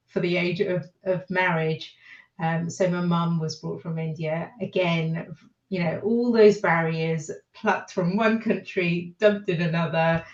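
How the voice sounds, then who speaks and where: A British woman telling a personal story as a podcast guest, reflective and halting in her delivery. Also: lowish voice. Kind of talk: personal storytelling in a panel discussion. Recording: echoey, dull lo-fi sound.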